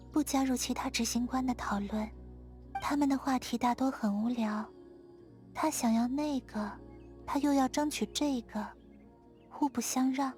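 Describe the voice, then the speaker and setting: High-voiced, dreamy young moon maiden played by an actress. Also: female voice, a little mellow, natural voice. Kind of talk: scripted video game character dialogue. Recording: studio voice over background music.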